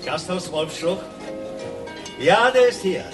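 A man's voice: high-pitched, animated, slow pace, music in the background. A man playing an obliging, ingratiating tavern landlord in a radio crime drama.

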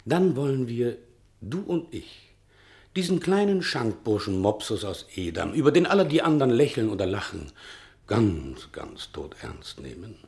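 An actor playing a sly, pious tavern servant in a theatrical 1960 radio play, musing in a declamatory, mock-solemn way. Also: male voice, on the low side, darkish tone.

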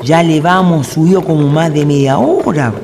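A man's voice: fairly high pitch, normal speed, gravelly. A man playing an easygoing, plain-spoken Chilean country traveller in a radio drama, talking colloquially. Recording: noisy ambient radio drama recording.

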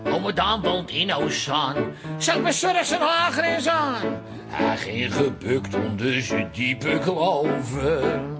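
A cheeky family joker in a radio comedy. His delivery bounces widely in pitch over loud music, with a teasing, sing-song manner. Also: big pitch swings, slow pace. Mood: playful. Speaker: radio drama actor. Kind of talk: comic radio play acting with rhymed verse.

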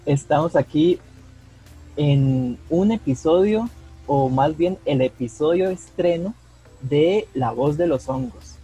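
A man's voice: on the high side, takes it slowly, dark tone, breathy edge, soft background music, low-quality recording. A young man with a Costa Rican Spanish accent co-hosting a podcast. He talks earnestly, often repeats words and keeps adding "¿verdad?". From Costa Rica. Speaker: podcast co-host, mycologist. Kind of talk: casual podcast chat.

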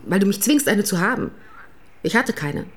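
An actress playing a candid, exasperated writer's wife in a naturalistic radio play, with a dry, blunt manner. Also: female voice, mid-range voice, expressive, normal speed, lightly acted. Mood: defensive, frank. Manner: evasive at first, then blunt and dry.

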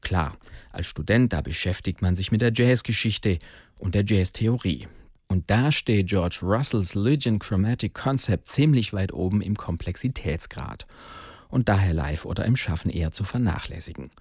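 A man's voice: low-pitched, expressive, moderate pace, darkish tone, breathy edge, dry recording, studio quality. A man hosting a jazz radio show in a scripted but conversational style. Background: faint music.

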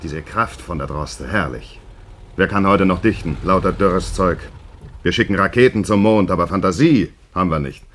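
An actor playing a genial middle-aged family doctor in a radio play, educated and calmly commanding. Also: male voice, deep voice, normal speed, a little hiss or hum. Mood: genial, musing. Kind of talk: German radio play acting.

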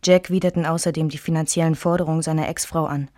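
A woman playing a wistful memoirist who recalls her marriage in measured radio drama narration. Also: low voice, steady tone, a little mellow, natural voice. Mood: reflective.

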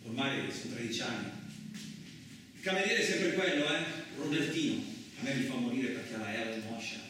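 An actor playing a coarse, suspicious, lonely truck driver in a radio theatre monologue, rambling colloquially with frequent questioning interjections. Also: male voice, medium pitch, animated, brisk, close to an everyday voice. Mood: rambling, scornful. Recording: very echoey, distant, noisy room recording.

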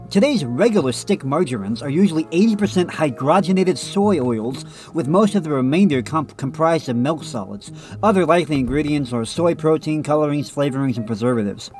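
Slightly nasal man reading aloud, fairly high. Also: a little mellow. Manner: reads passages then adds blunt off-the-cuff remarks. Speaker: podcast co-host. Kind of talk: casual podcast, reading a text aloud with asides.

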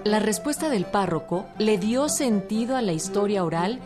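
Mid-pitched woman narrator. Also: animated, moderate pace, over music. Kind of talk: scripted documentary-drama narration.

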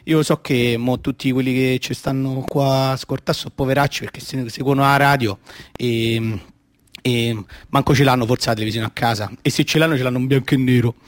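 A man's voice: mid-range voice, fairly even intonation, fairly quick, close to an everyday voice. An actor playing a boastful, naive little boy who loves football and sticker cards, in a radio play, with a Roman accent and hesitant, rambling childish talk. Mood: boastful, explaining. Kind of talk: radio theatre monologue in childish colloquial speech.

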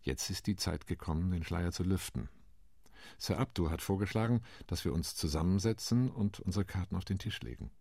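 Male actor as a middle-aged UN delegate for a radio drama: deep, raspy, steady reporting tone. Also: no echo, clean studio sound. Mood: measured. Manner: measured, reporting. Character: sober male diplomat recounting committee talks. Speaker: UN commission delegate. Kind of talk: radio play monologue.

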